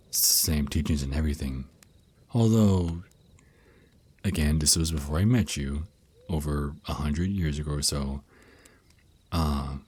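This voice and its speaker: A man playing a centuries-old vampire naga boyfriend, a tender mentor speaking slowly and soothingly in an intimate ASMR roleplay, with a very deep, husky voice. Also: darkish tone, no room sound, heavily acted. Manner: slow, soothing, teasing endearments.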